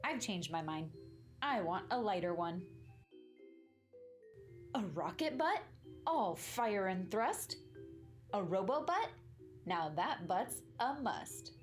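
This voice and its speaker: A woman voicing a dramatic, imaginative young boy in a rhyming picture book, with exaggerated sing-song delivery. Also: fairly high pitch. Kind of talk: animated storybook read-aloud.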